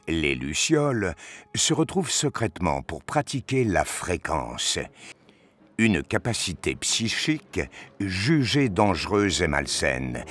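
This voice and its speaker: A man narrating a scripted science-fiction audio story in a deliberate, dramatic storytelling manner. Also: low voice, a bit bright, slightly rough.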